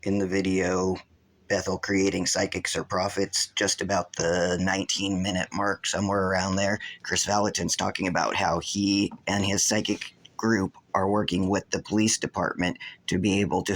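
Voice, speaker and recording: A man's voice: sits low, flat delivery, moderate pace, poor audio. A man hosting his own video channel, giving rambling, accusatory unscripted commentary. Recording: loud vehicle and background noise.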